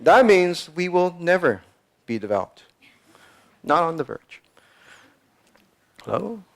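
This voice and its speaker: A man's voice: sits high, sing-song, slow pace, creaky. A man from the United States, a startup founder giving a conversational conference talk and acting out quoted voices. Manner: conversational, repeats words, acts out quoted voices.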